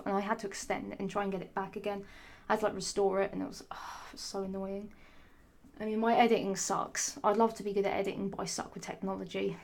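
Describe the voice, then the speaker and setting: A young woman, her voice hoarse, rambling sassily to camera with frequent swearing and restarts. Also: mid-range voice. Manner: rambling, sassy, swears often, many restarts. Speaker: vlogger. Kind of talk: rambling casual monologue.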